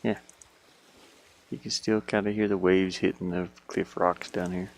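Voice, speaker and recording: Male game dev, lowish pitch, very unhurried, casual think-aloud in muttered fragments. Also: level tone, creaky. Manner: muttering to himself in short fragments. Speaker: indie game developer.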